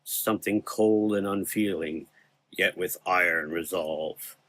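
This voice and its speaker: A man voicing an eerie, calm shadow avenger in a gothic horror audio drama, taunting in a smooth, deliberate way. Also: deep voice, no room sound, voice pushed down, heavily acted. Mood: calm, foreboding.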